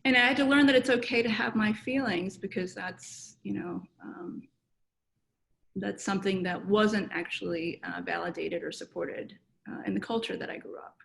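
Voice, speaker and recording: A woman psychotherapist from South Korea, answering interview questions thoughtfully over a video call, with many pauses and fillers. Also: medium pitch, vocal fry, a bit bright. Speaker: guest psychotherapist. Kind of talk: reflective personal interview answers.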